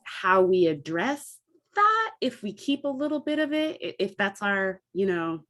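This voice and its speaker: A woman's voice: mid-range voice, very animated, unhurried, fairly bright tone. A woman talking casually and enthusiastically, like a theatre director thinking aloud about performance. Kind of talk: casual discussion of theatre craft.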